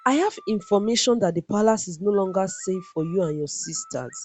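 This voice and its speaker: A woman's voice: mid-range voice, brisk, darkish tone, soft background music, lightly acted. A woman voicing a scheming, manipulative royal uncle in a folktale, speaking with insinuating deliberation. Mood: grave, persuasive.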